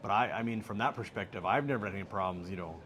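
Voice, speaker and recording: American man, middle-aged, low-pitched, joking, relaxed interview guest, faint background hiss. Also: rapid-fire. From United States. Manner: relaxed, joking, laughs easily. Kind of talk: casual interview answers.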